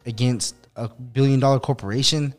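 A man talking as a podcast guest, relaxed and rambling, with lots of fillers and restarts. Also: mid-range voice, moderate pace. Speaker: podcast guest, musician and activist. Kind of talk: casual podcast chat. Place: podcast studio in Los Angeles.

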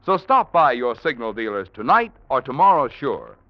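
A man's voice: mid-range voice, sing-song, normal speed, no room sound. An American man as a 1940s radio announcer, reading a scripted sponsor message with polished, emphatic salesmanship. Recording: dull old radio recording.

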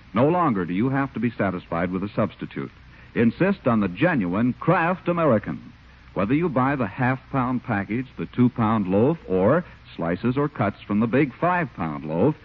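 A man announcing on 1940s radio with a folksy, polished sales delivery. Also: mid-range voice. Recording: tinny, husky-sounding 1940s radio broadcast with music.

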